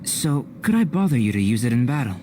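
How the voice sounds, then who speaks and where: Man as young game alchemist, cerebral and enigmatic, measured polite delivery at middle pitch. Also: big pitch swings, natural voice. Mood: composed. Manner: measured, formal, precise, unflappable. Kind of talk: video game cutscene acting. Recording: clear background noise.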